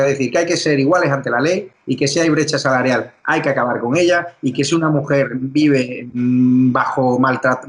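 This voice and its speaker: A man from Spain hosting a political talk show, emphatic and quick to run through lists. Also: mid-range voice, a bit bright. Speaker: show host.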